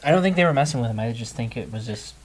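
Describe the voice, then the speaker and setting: A man's voice: mid-range voice, expressive, racing. A man speaking casually in a group chat.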